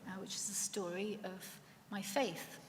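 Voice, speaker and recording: A middle-aged woman with a Welsh accent telling her faith story in church, earnest and reflective, pausing often. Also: medium pitch, level tone. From Wales. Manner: earnest and reflective, with pauses and restarts. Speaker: church speaker sharing her testimony. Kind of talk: personal faith testimony. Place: church hall. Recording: echoey church with long reverb, faint room noise.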